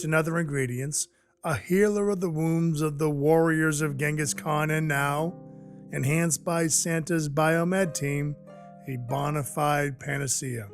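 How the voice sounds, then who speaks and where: An actor playing a sardonic dwarf who works as Santa's enforcer, telling his story in a wry, mock-polite deadpan for an audio drama. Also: on the high side. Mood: grave, wry. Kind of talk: first-person audio drama narration with dialogue. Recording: music behind the voice. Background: music.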